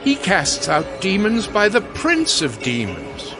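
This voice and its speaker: A man's voice: high-pitched, wildly swooping pitch, takes it slowly, heavily acted. An actor playing a haughty male Pharisee in a dramatized audio Bible, speaking in an accusing way. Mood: indignant, accusing. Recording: music behind the voice.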